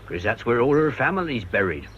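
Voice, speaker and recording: A stubborn old church verger in a British radio drama. He talks in blunt, colloquial British speech with widely moving pitch. The recording is dull and a little noisy. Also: big pitch swings, a little fast, fairly bright tone. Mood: grumbling. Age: older. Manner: plain-spoken, impatient, colloquial.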